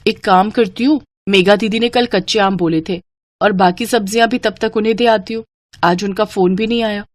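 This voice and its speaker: A woman's voice: mid-range voice, no room sound, close to an everyday voice. A woman voicing a kind, hardworking woman vegetable seller in a moral story, respectful and eager to help. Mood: practical. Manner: respectful, reassuring, helpful. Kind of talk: Hindi moral story character dialogue.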